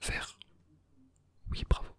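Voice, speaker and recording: A young adult speaks in a soft, breathy whisper with almost no voiced sound. The manner is that of an ASMR examiner who is playful and teasing while staying gently reassuring. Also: slow pace. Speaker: ASMR roleplayer playing an examiner.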